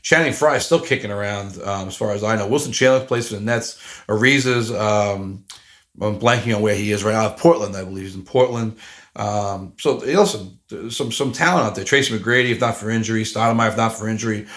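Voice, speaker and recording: A man's voice: fairly low pitch, a little fast, fairly bright tone. A man in his forties talking alone on a podcast, rambling and self-deprecating, with lots of fillers and restarts. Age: 40s. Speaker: podcast host. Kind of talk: casual solo podcast monologue.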